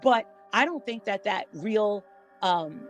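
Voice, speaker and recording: A woman chatting casually as a panel guest, rambling and haltingly repeating her words as she goes. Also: medium pitch, lively intonation, faint music behind. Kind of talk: casual gossip chat.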